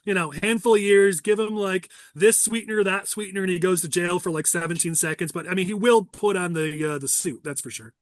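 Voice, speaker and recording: A nasal man in his thirties hosts a casual podcast, with a high voice and a quick pace. He teases and rambles through lots of fillers, recorded close and dry. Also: no echo. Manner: teasing, fast and rambling. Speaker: podcast host. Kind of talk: casual political podcast chat.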